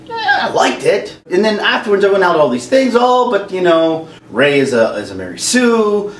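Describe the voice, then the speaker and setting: Animated man ranting in an echoey room. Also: high voice, normal speed. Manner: animated, jokey, breaks into silly singing. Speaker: YouTube host. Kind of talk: ranting commentary to camera. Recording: echoey room, mic at a distance, faint background noise.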